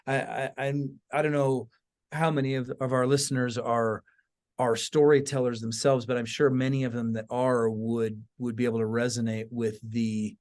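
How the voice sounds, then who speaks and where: A man with a medium-pitched voice hosts a podcast discussion. He speaks informally and with engagement, as in a relaxed chat between colleagues, often checking that his listener follows. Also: moderate pace. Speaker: podcast host. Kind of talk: casual conversational discussion.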